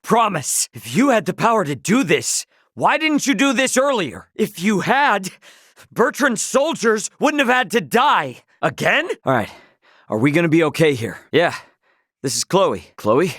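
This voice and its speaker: Brash loyal young male captain in a video game, earnest n casual, pitch jumps around, dry close sound. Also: a little slow, dry recording, exaggerated voice. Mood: alarmed. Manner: informal, earnest, expressive.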